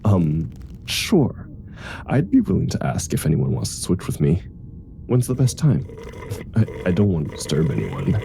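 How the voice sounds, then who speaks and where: A man's voice: deep voice, wildly swooping pitch, moderate pace, gravelly, warm tone, a little hiss or hum, full character voice. A man playing a grumpy, exasperated male superhero in a comedic audio roleplay, with dry, weary sarcasm. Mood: polite, awkward.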